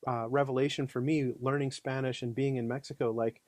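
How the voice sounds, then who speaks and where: A man's voice: mid-range voice, flat delivery, a little fast, darkish tone, no echo. A man talking as a podcast guest, easygoing and chatty, with lots of "you know" fillers. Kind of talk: casual conversation.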